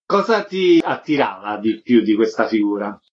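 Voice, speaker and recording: A man's voice: on the low side, big pitch swings, moderate pace. Concise male radio host, muffled. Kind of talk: radio interview, formal announcements.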